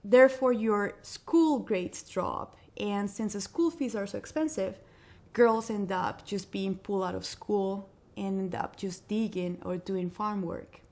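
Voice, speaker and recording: A woman's voice: medium pitch, measured pace, a little mellow. A woman from Colombia, answering interview questions earnestly as a social entrepreneur.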